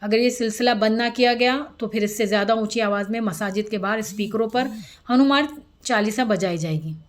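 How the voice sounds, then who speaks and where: A woman's voice: mid-range voice, fairly quick. A woman presenting scripted news in a formal manner, occasionally stumbling over a word as she reads.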